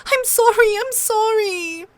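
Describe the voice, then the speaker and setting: A woman's voice: extremely high pitch, slow, no echo, voice pushed up. A woman voicing a small, fierce young girl in an audiobook, tearful and protesting. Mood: sobbing, remorseful. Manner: sobbing, loud protesting.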